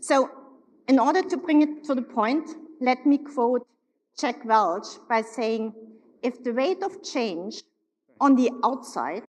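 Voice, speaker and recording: A woman in her forties from Germany with a German accent, giving an upbeat, emphatic keynote speech on a stage and slowing down to stress key words. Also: a little high, expressive. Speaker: corporate executive keynote speaker. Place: large hotel ballroom. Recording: long reverb of a large hall.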